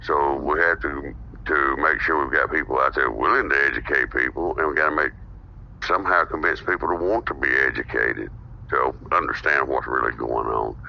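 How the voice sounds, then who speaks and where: A man's voice: a bass voice, normal speed, gravelly, slight background noise. A man who hosts a podcast, speaking as a guest in an earnest, opinionated political discussion. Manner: earnest, opinionated, steady explaining. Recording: dull lo-fi audio.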